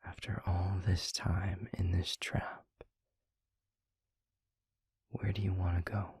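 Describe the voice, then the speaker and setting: A man playing a tender, weary demon lover in an intimate audio roleplay, speaking softly and deliberately in a hushed, breathy, gravelly voice close to the microphone. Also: sits low, little pitch movement, no room sound, exaggerated voice. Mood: wistful, tender. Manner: slow, deliberate, soft, wordy romantic phrasing. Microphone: close microphone, dry.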